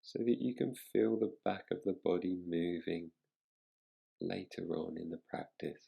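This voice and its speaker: A man guiding a relaxation meditation as a teacher, speaking in a soothing, unhurried manner with long pauses. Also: sits low, gravelly, breathy edge, highs cut off.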